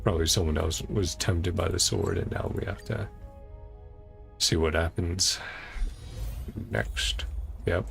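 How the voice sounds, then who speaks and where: Very gravelly low-voiced man, casual gaming commentary. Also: steady tone, measured pace, long pauses, fairly bright tone, faint music behind. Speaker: gaming streamer. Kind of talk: casual game playthrough commentary, reading subtitles aloud.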